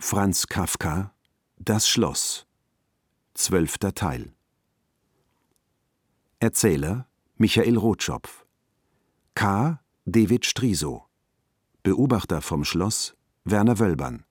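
Guy announcing radio play credits, low husky voice, super slow. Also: expressive, no room sound, clean studio sound.

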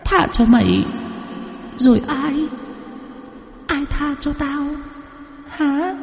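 A vengeful young ghost woman, put on by a male storyteller, taunting in a high, gravelly, husky voice that drags out each word slowly. Her cruelty comes through in a shrill, cackling delivery. Faint music plays behind her. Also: lively intonation, roomy sound, long reverb tail, slight background noise, heavily acted.

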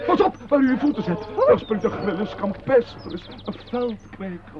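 High, swooping, pedantic man. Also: expressive, normal speed, lo-fi sound, noisy background. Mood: eager, pedantic. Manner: pedantic, earnest, dramatic. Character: clumsy, pedantic clerk and eager butterfly chaser. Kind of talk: radio play acting.

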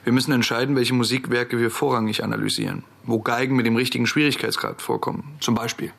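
A man's voice: medium pitch, little pitch movement, fast, gravelly, fairly bright tone. An actor playing a self-assured young male programmer in a radio drama, matter-of-fact and dry. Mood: confident, businesslike. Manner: matter-of-fact, cocky, explaining. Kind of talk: German radio drama acting.

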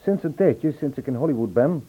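An actor playing an earnest, self-absorbed, worldly middle-aged composer in a radio drama, on a muffled, tinny old recording. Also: male voice, mid-range voice, expressive, a little fast, breathy edge. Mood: earnest, indignant.

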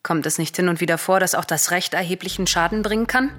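An actress playing a cautious, reasoning royal sister in a classical radio drama, speaking in formal, measured, admonishing verse. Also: female voice, lowish voice, lightly acted.

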